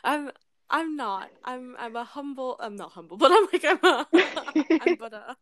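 A woman's voice: high voice, animated, a little slow, fairly bright tone. A young woman with a British accent, chatting casually as a podcast guest and laughing often. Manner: giggly, laughs often between phrases. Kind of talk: casual chat.

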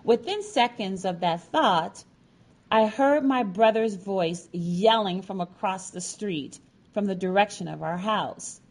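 A woman narrating a personal story from a script, with deliberate, dramatic phrasing. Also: medium pitch. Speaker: featured storyteller.

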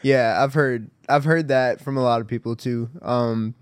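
A man's voice: mid-range voice, steady tone, normal speed, clean tone, no room sound. A young man guesting on a podcast in a studio, laid-back and joking, with casual swearing. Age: young adult.

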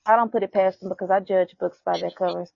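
A woman in her twenties speaks as a guest on a casual podcast with a gravelly, creaky voice. She is blunt and offhand. The recording is dry and lo-fi. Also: medium pitch, fairly even intonation, quick pace, no room sound. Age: 20s. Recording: dull lo-fi sound.

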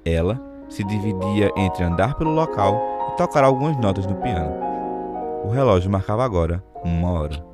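Low-voiced male story narrator. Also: animated, moderate pace, loud music behind the voice. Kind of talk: scripted literary narration for audio drama.

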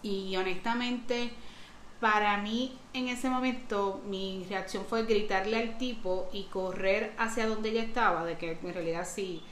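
A woman's voice: mid-range voice, steady tone, unhurried, a bit bright. Woman from the dominican republic, casual chatty guest, echoey room. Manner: chatty, mixes in English fillers like "so". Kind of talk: casual conversation. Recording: roomy echo with faint background hiss.

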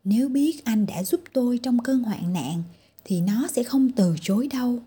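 A woman's voice: fairly high pitch, lively intonation, moderate pace, warm tone, breathy edge. A woman voicing a kindly old father in a storybook tale, earnest and coaxing. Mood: grateful, coaxing.

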